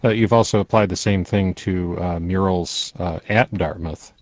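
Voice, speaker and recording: A man interviewing a guest, asking measured questions that lead into the next topic. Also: low-pitched, steady tone, no room sound.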